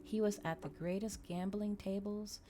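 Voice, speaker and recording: A woman's voice: mid-range voice, steady tone, normal speed. A woman hosting an ASMR livestream, chatting casually and reading aloud in a soothing way. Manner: soft-spoken, soothing when reading. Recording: clear background noise, fireplace crackle ambience. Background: fireplace crackles and quiet ambient music.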